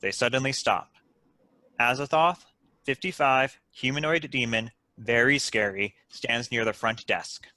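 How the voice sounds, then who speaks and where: A man reads screenplay stage directions in a crisp narrator role. His voice is mid-pitched and very bright, recorded dry and close. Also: a little slow, no room sound. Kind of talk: scripted narration.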